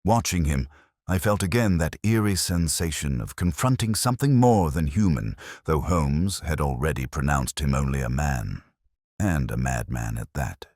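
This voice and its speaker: Very deep male mystery storyteller. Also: lively intonation, normal speed, a little mellow, dry recording, studio quality. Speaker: audiobook narrator. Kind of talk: scripted dramatic mystery narration.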